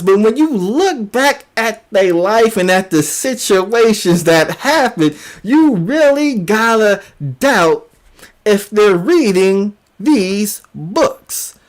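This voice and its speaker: Slow-paced man hosting mocking commentary. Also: sits high, animated. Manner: mocking, emphatic, repeats phrases. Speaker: YouTube show host. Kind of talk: informal commentary monologue.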